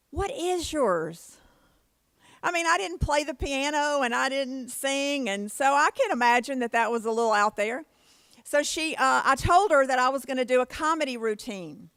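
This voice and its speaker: Higher-pitched woman giving a keynote. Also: fairly bright tone, no echo. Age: 50s. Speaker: keynote speaker. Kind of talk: motivational conference keynote with personal stories.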